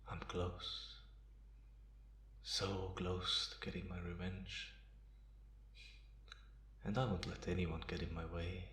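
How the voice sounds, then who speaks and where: A man playing a bitter, guarded, centuries-old vampire in a slow ASMR roleplay monologue with measured pauses. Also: sits low, gravelly, fairly bright tone, exaggerated voice. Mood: vengeful, brooding. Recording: roomy, echoing sound.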